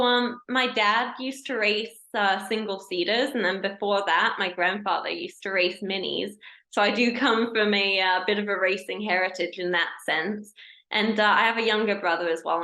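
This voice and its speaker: A young woman with a Canadian accent that carries a British edge, her voice bright and crisp. She answers questions in a friendly, chatty way, pausing on small "uh"s as she goes. The recording has a noticeably echoey room around her. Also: medium pitch. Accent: Canadian with a British tinge. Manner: friendly, chatty, with frequent "uh" restarts. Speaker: guest, racing driver turned engineer. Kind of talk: casual interview answers.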